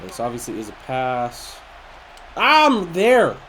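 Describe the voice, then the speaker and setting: A man's voice: mid-range voice, huge pitch swings, takes it slowly, a bit bright, a little hiss or hum. Nasal, congested man commentating a game. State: congested after being sick. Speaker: video game streamer and host. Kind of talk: casual gameplay commentary.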